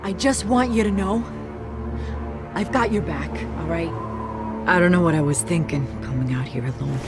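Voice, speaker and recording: Woman playing an earnest low-voiced female cop. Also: moderate pace, over music, natural voice. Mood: earnest, apologetic. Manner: sincere, resolute.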